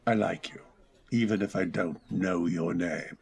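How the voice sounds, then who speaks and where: A man playing a melancholy, reserved gentleman with formal, polite manners in a radio drama. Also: sits low, measured pace, gravelly, breathy edge, full character voice. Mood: curious, charmed. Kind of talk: old-time radio drama acting.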